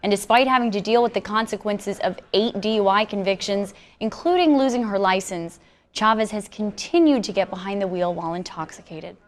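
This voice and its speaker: An American woman reads a scripted TV news report. Her voice sits at an average female pitch, and she delivers the narration as a field reporter's voice-over. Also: a little fast.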